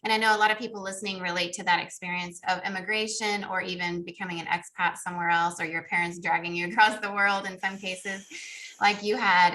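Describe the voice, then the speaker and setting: A woman's voice: medium pitch, bright, forceful tone, slight background noise, low-quality recording. A woman hosting a conversational podcast interview in an effusive, enthusiastic manner. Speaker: podcast host. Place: remote video call.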